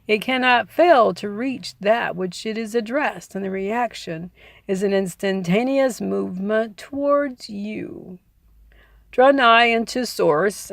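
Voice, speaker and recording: Creaky-voiced woman reading self-help aloud. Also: medium pitch, dry recording, clean studio sound. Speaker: audiobook reader adding personal commentary. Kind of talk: read-aloud self-help narration with informal asides.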